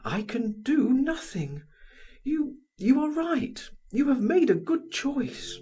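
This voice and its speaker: A man's voice: very high voice, big pitch swings, slow, mellow, voice pushed up, full character voice. A man voicing a heartbroken married woman in an audiobook, tearful, faint and unsteady but trying to stay dignified. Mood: tearful, resigned.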